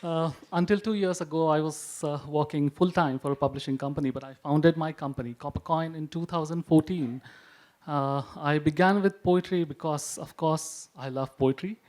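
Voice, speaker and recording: Punjabi-speaking guy from maharashtra giving a panel talk, higher voice, dry jokes, lots of uhs. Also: moderate pace, a little mellow. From Maharashtra, India. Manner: wry, joking, with frequent "uh" hesitations. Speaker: publisher presenting. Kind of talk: panel presentation with humour.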